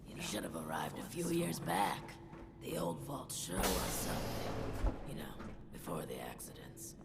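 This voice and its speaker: An actor playing a wistful vault dweller in a video game, speaking in a breathy, gravelly voice about better days. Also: male voice, low voice, wildly swooping pitch, normal speed, heavy background noise, exaggerated voice. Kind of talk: scripted video game background dialogue.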